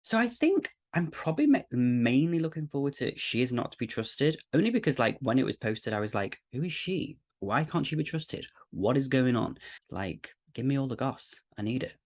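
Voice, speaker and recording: A woman's voice: extremely low pitch, big pitch swings, normal speed, darkish tone, no room sound, studio quality. A woman chatting casually in a gushing, slangy way as an amateur cast member. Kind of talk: casual chat.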